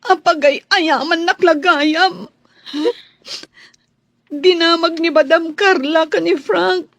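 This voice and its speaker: Heartbroken young maid, very high and slow. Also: female voice, fairly even intonation, a bit bright, dry recording, heavily acted. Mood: tearful, hurt. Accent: Filipino. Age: young adult. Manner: plaintive, weepy. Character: heartbroken young housemaid in love, tearful and wounded. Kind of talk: Filipino radio drama acting.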